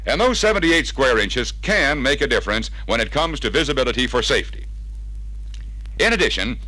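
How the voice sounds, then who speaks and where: Bright, lively American male promotional announcer. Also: medium pitch, slightly rough, no room sound, poor audio. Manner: emphatic, polished salesmanlike announcer delivery. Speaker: narrator of a car sales training film. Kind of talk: 1960s scripted promotional narration. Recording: vintage 1960s slide-film record.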